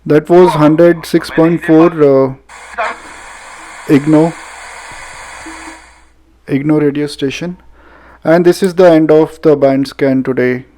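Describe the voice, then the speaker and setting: A man with an Indian accent giving a casual, halting product demonstration as a radio reviewer. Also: fairly high pitch, steady tone, mellow, rough recording. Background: radio music playing.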